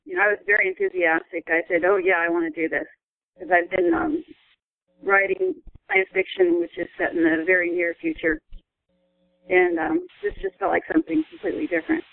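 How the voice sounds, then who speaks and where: A woman's voice: mid-range voice, sing-song, brisk, creaky, no echo. A woman author on a panel, chatting casually and telling anecdotes about her work over a narrow phone-like line.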